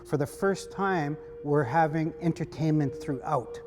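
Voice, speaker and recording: A man's voice: fairly high pitch, a little slow. A man, speaking as an artist and organizer promoting an event. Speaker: artist and art festival organizer. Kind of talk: promotional interview talk to camera. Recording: reverberant hall, music bed underneath. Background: music.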